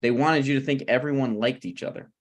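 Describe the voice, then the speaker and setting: A man's voice: mid-range voice, fast, lightly acted. Man reading as a flippant, awkward screenwriter friend. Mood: awkward banter. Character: flippant male screenwriter, awkward, needling, self-deprecating friend. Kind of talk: conversational table read of a comedy script.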